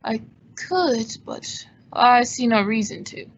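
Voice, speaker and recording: Woman doing a cool dry-witted detective guy, radio drama, husky, slightly muffled. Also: fairly high pitch, lively intonation, slow, heavily acted. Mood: coolly evasive. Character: cool, wry male gentleman sleuth. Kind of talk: old-time radio drama acting. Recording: dull lo-fi audio.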